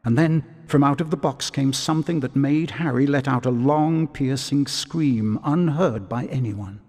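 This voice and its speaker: Casting: male game narrator, mid-pitched, deliberate dramatic storytelling, reverberant recording. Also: a little mellow.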